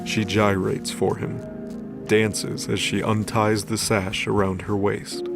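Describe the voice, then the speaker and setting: A man's voice: on the low side, vocal fry. A man reading scripted story narration for an audio drama.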